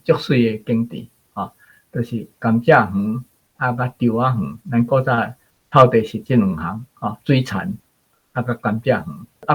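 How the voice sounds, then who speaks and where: 一位台灣口音的男性文學評論者，以閒談口吻解說故事，嗓音沙啞。. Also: male voice, mid-range voice, husky, muffled recording. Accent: Taiwanese. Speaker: literary commentator. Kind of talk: conversational explanation of a story.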